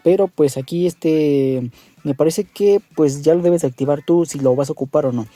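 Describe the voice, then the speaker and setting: Man with a very soft-toned, mellow voice. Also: a little high, normal speed, no echo, soft background music. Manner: informal, meandering, often seeking agreement. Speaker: tutorial host. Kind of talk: casual app tutorial narration.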